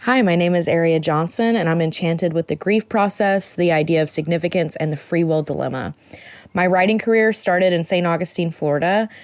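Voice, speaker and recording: A woman's voice: fairly low pitch, fairly quick, no echo. American woman poet, dry close sound. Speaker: poet and editor. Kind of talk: personal introduction to a poetry reading.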